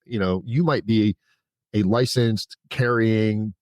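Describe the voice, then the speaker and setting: A man's voice: lowish voice, slow, a little mellow, no room sound, clean studio sound. A man in his forties speaking as a podcast guest, telling his own story in a frank, conversational way. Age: 40s. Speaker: podcast guest, prison consultant. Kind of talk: candid personal storytelling in an interview.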